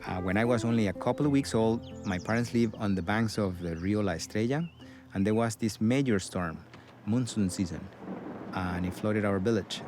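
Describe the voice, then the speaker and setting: A middle-aged man from Costa Rica with a Costa Rican accent, a river guide talking in a relaxed, reflective documentary voice-over. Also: on the low side, moderate pace. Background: music.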